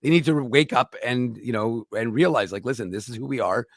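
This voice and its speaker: A man's voice: medium pitch, expressive, brisk, no room sound, clean studio sound. A man co-hosting a hockey talk show, giving emphatic, opinionated takes in casual chat.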